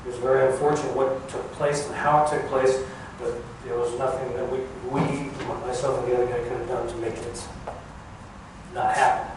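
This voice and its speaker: Older guy giving a folksy talk, kinda halting, super echoey and far from the mic. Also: mid-range voice, little pitch movement. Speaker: guest speaker, former air traffic controller. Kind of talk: informal public talk recounting career. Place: large echoey museum room. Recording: echoey hall, distant mic, clear background noise.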